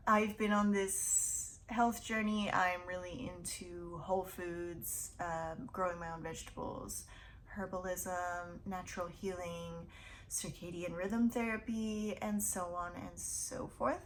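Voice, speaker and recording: A woman's voice: on the low side, slight background noise, rough recording. A woman in her thirties hosting a casual book vlog, chatting in a rambling, self-deprecating way. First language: English. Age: 30s. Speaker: YouTube book channel host. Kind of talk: casual to-camera vlog chat.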